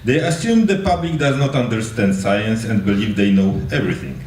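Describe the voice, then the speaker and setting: A man's voice: on the low side, animated, fairly quick, a little mellow, distant mic. A man reading a prepared text aloud at a live event. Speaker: university lecturer. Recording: echoey room from afar, faint noise.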